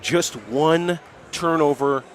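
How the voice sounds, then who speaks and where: Higher-pitched American man calling basketball. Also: steady tone, slow. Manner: emphatic, rattles off statistics. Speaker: sports radio play-by-play host. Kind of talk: stat-heavy pregame basketball commentary.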